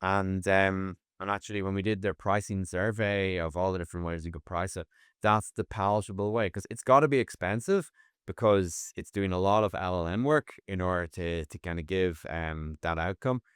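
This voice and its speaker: A man's voice: deep voice, normal speed, clean tone, dry recording, clean studio sound. A man speaking as a tech executive guest in a conversational interview, often repeating the starts of his words.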